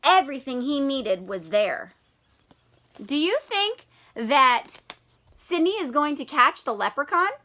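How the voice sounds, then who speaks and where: A woman teacher reading a picture book aloud to young children. Her high, bright voice swoops up and down with lively expression. She pauses often to ask her listeners questions.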